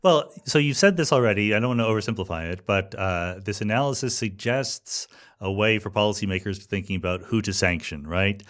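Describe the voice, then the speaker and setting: A man's voice: fairly low pitch, brisk, fairly bright tone. An American man hosting a podcast, asking questions in a thoughtful, informal, conversational way. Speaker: podcast host. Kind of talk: conversational interviewing.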